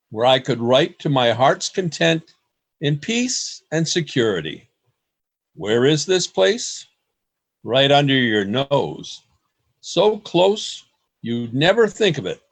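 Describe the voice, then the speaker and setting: A man playing a world-weary, rapturous poet reading his journal as a theatrical radio play monologue. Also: mid-range voice. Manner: theatrical, declamatory. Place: home, over a video call.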